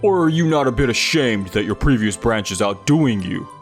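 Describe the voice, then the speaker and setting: A man's voice: mid-range voice, lively intonation, normal speed, faint music behind, lightly acted. A man voicing a demanding, numbers-driven sales manager in an English manga dub, firm and authoritative. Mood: stern.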